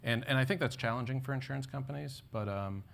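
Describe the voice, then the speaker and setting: A man speaking as a panelist, answering an audience question in a measured, explanatory way that often ends with "right?". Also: mid-range voice, creaky, slight background noise.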